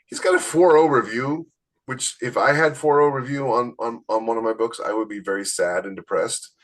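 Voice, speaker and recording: Chatty man with a mid-pitched voice. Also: moderate pace, fairly bright tone. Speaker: author and podcast co-host. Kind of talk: casual chatty conversation.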